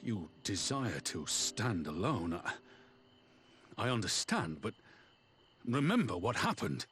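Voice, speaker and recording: A low male voice as a grave, honorable veteran general. He is solemn and formal, with archaic fantasy-game phrasing. Music sits behind him. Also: big pitch swings, slow, a bit bright, heavily acted. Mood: stunned, uneasy.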